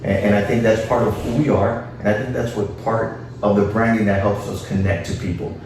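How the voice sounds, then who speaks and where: Echoey, low-voiced man in an interview. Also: level tone, brisk. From Miami, Florida. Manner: informal, peppered with Spanish phrases. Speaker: interview guest, city official and former teacher. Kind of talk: conversational interview answers. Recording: echoey room, distant mic, faint noise.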